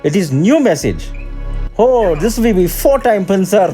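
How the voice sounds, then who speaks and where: A man's voice: sits high, very animated, a little slow, warm tone, faint music behind. A man putting on an Indian accent as a naive, chatty, deferential man who stubbornly questions a caller. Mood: muddled, cheeky. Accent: put-on Indian.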